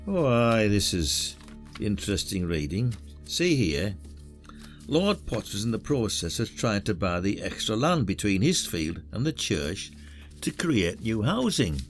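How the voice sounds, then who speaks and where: A man's voice: on the low side, sing-song, unhurried, faint music behind. An actor playing a weary, blunt older retired detective in an audio drama, brooding and old-fashioned in his wording. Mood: dogged, wary.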